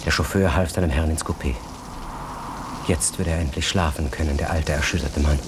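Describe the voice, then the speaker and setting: An actor playing the narrator of a literary radio drama, telling the tale in a vivid, dramatic manner. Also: male voice, rumbling low, brisk, background noise. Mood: urgent, dramatic. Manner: expressive storytelling, building tension. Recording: music bed behind the voice.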